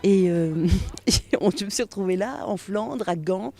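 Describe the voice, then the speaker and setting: A woman's voice: medium pitch, animated, unhurried, creaky, dark tone. A woman from Belgium, an actress, recounting her experience in an interview, hesitantly searching for words. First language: French.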